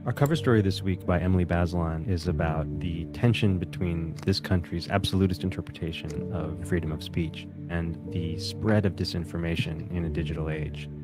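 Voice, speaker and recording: A man explaining a story as an editor in a produced video, articulate and measured. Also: low-pitched. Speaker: magazine editor. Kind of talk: explanatory interview for a promotional video. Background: guitar music bed.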